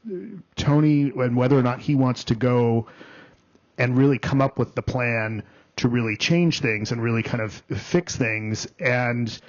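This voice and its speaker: Male critic co-host, mid-pitched, halting delivery full of fillers, slightly muffled lo-fi sound. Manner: halting, many ums and restarts. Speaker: film critic, podcast co-host. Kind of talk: casual podcast review chat. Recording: dull lo-fi podcast audio.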